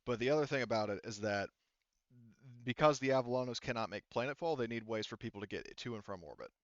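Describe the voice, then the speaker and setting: A man acting as game master, narrating a tabletop game casually in halting, rambling detail. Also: medium pitch, no echo, dull audio.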